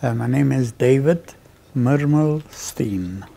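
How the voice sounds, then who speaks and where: An older man from Czechoslovakia reminisces informally for an interviewer. He talks slowly in a mellow, creaky voice of average pitch. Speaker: Holocaust survivor interviewee. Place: quiet indoor library.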